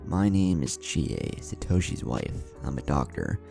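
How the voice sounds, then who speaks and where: A man's voice: rumbling low, very animated, a little slow, gravelly, mellow, soft background music, exaggerated voice. A man voicing a kindly, soothing older woman, a village doctor, in a narrated story. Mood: reassuring. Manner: soothing, motherly. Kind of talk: storybook character dialogue.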